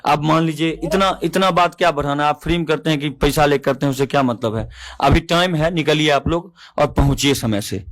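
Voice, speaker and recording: Fast, high-pitched insistent Bihari man. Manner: insistent, repeats phrases. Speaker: event organizer. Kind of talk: argumentative phone conversation. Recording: recorded phone call.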